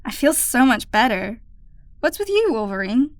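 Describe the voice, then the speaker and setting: Woman acting a high-voiced, outspoken teenage girl. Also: big pitch swings, moderate pace, no room sound. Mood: lively. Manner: informal, blunt. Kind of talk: audio drama acting.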